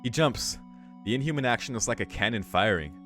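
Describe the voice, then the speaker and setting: A man reading a visual novel's story aloud for a let's play, breaking into casual, excitable reactions with some swearing. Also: mid-range voice, a little fast. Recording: music behind the voice.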